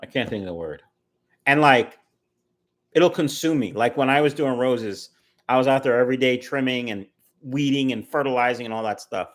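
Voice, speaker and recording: A man's voice: medium pitch, moderate pace, fairly bright tone. A man in his early fifties, casually chatting on a livestream, answering viewer comments in a rambling, talkative way. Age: 50s. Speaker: fishkeeping livestream host reading chat. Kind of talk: casual live chat.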